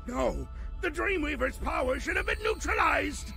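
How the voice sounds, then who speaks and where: A man's voice: very high-pitched, animated, normal speed, creaky, bright, forceful tone, exaggerated voice. A man voicing a blustering megalomaniac mad scientist in a video game, bombastic and gloating. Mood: furious bluster. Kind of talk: animated video game villain acting. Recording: music under the voice.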